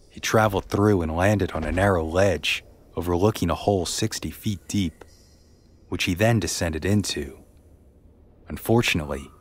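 A man narrating a scripted true story as a podcast host, in a measured, somber way. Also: sits low.

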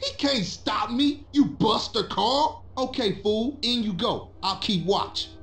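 An actor playing a brash, taunting young gangster who talks in street slang. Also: male voice, very high voice, takes it slowly, vocal fry, fairly bright tone, echoey room, exaggerated voice. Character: pushy, mocking young gangster man.

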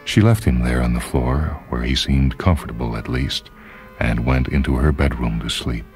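A man's voice: very deep voice, moderate pace, darkish tone. A man reading a short story as dramatic literary narration. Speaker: story reader. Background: music.

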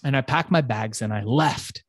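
A man from Iran, whose first language is Farsi, telling his life story as an interview guest in a swaggering, profane, animated way. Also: mid-range voice, normal speed, no echo, studio quality. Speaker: entrepreneur guest.

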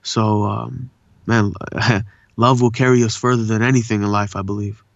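A man's voice: fairly low pitch, flat delivery, normal speed, vocal fry, no room sound, highs cut off. A man born in India and raised in Southern California, with an urban SoCal accent, chatting earnestly as a voice actor guest and often saying "man". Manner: laid-back, earnest, says "man" a lot. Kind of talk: casual interview chat.

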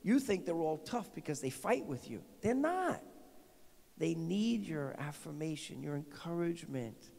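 A man's voice: on the high side, sing-song, measured pace, gravelly, darkish tone. A middle-aged man with a New Jersey accent, preaching a conversational homily as a pastor and often asking the congregation questions. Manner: informal, repetitive restarts, asks the congregation questions. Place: large decorated church sanctuary. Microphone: handheld microphone. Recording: long church reverb.

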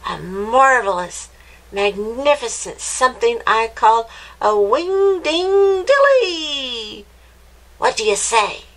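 A woman voicing a gleeful little old witch with a high, screechy voice, chanting spells theatrically in a children's storybook. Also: very animated, slow, a bit bright, heavily acted, low-quality recording. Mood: coaxing, boastful. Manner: theatrical, exclamatory, chanting nonsense rhymes.

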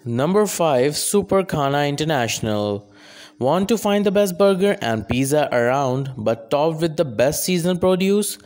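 A man narrating a scripted restaurant countdown in an even, measured reading with odd phrasing. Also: fairly high pitch.

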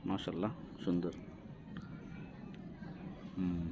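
A man's voice: low-pitched, little pitch movement, takes it slowly. Bangladeshi man musing over outdoor noise. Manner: musing aloud, questioning. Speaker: vlogger. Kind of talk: casual spoken commentary while filming plants. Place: outdoors on a rooftop garden. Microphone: handheld phone behind the camera. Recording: phone recording with clear outdoor ambient noise.

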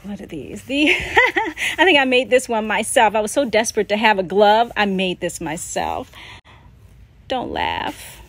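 A woman's voice: medium pitch, sing-song, measured pace, a bit bright, no echo. An American woman in her late forties giving a casual, chatty, nostalgic and self-deprecating show-and-tell commentary. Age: late 40s. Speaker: vlogger showing a personal scrapbook. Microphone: handheld phone. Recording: noisy handheld home phone recording.

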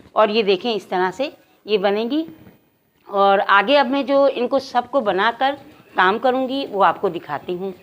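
Lady doing a cooking tutorial, highish voice, explains each step to the viewers. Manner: step-by-step, addressing viewers directly. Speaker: home cook presenting a recipe. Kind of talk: instructional cooking narration.

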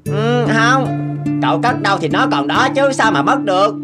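A woman's voice: medium pitch, expressive, moderate pace, lightly acted. A woman voicing an anxious schoolboy in a cartoon, earnest and childlike. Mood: worried. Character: anxious schoolboys in a moral tale. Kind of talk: cartoon dubbing. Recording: loud guitar music behind.

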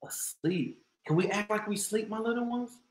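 An American man in his thirties reading aloud to young children as a storyteller, with a theatrical, drawn-out, exclaiming delivery. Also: sits high. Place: small indoor room. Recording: roomy echo.

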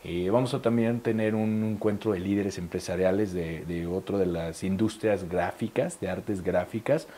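Low mellow Mexican man explaining. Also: normal speed, hardly any pauses, a little hiss or hum. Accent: Mexican Spanish. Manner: fluent promotional explaining with fillers. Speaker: event organizer. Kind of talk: studio interview. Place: TV studio. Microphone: lapel.

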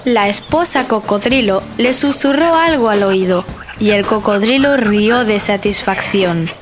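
A woman narrating a children's bedtime story in a gentle, clear storytelling manner. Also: medium pitch, a little slow. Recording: narration over music.

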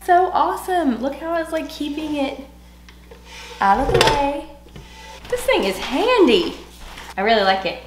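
A woman in her forties with a slightly hoarse voice, chatting enthusiastically to viewers as she reviews home products. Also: sits high, big pitch swings, a little slow, long pauses, music in the background. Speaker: home decor vlogger. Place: small furnished rooms at home, indoors. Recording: roomy echo.

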